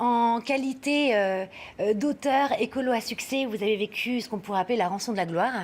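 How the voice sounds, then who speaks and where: A woman's voice: on the high side, a little mellow. Woman hosting a talk show. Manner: leading questions, smooth transitions.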